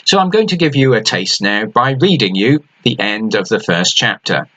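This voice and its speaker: Lively man with a husky voice. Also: lowish voice, moderate pace, poor audio. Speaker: author. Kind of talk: introducing his book and reading aloud.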